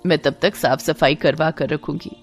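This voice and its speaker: A chatty middle-aged landlady in a domestic audio tale, voiced by a woman. She talks in a lowered pitch, familiar and persuasive, with soft music underneath. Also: steady tone, moderate pace. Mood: friendly.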